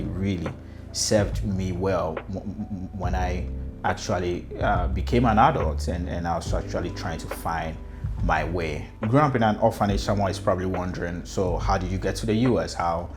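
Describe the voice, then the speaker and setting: A man's voice: low voice, moderate pace, music in the background. A man with a Ghanaian accent telling his own story off the cuff, hesitantly, often repeating words as he goes. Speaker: speaker telling own life story, customer experience consultant. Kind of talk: personal storytelling, unscripted.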